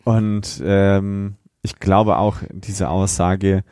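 Low-voiced man in casual podcast chat. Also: flat delivery, extremely slow, darkish tone, clear, resonant tone, no echo, clean studio sound. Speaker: podcast co-host.

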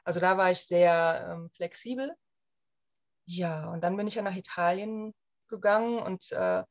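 A woman from Germany in her thirties, chatting casually as an interview guest with lots of fillers. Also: mid-range voice. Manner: chatty, many fillers, lively retelling. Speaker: guest, emigrant. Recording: slightly dull lo-fi sound.

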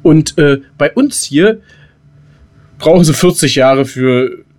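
A man's voice: a little high, expressive, slow pace, darkish tone. A man co-hosting an unscripted podcast in colloquial, jokey banter, often repeating the start of his phrases. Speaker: podcast co-host.

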